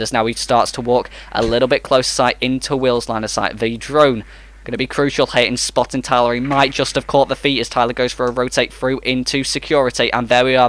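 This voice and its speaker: A man giving energetic, rapid play-by-play commentary on an esports match. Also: medium pitch.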